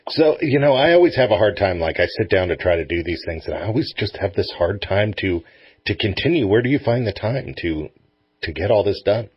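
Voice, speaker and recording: A man's voice: on the low side, lively intonation, a little fast, slightly rough, no room sound. A man hosting a radio show, chatting informally and enthusiastically with a guest. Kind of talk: casual interview chat. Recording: muffled, lo-fi radio feed.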